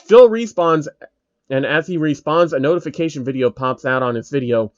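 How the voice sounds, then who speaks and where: A man's voice: on the high side, moderate pace, dry recording, lo-fi sound. A man reading a scripted story aloud as narrator, with occasional asides of his own.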